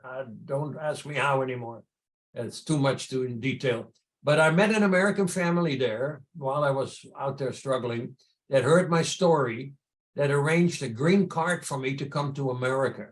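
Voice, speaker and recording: A man's voice: medium pitch, normal speed. An older man with a Dutch accent telling personal stories in a conversational way as an interview guest. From Netherlands. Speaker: podcast guest, entrepreneur and charity founder.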